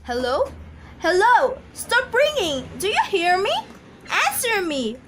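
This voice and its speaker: A very high-pitched, nervous invalid wife acted by a woman in an amateur radio play. She is demanding and emotional, full of complaint. The recording has clear background noise. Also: big pitch swings, slow, heavily acted. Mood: frantic, furious. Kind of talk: amateur radio drama acting.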